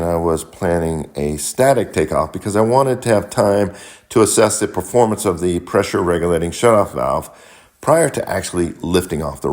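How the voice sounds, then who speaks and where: Male narrator, low-pitched, delivering careful step-by-step instructional voice-over as an experienced pilot. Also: darkish tone. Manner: measured, methodical, instructional. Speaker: pilot and flight mentor, video host. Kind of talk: scripted explanatory narration.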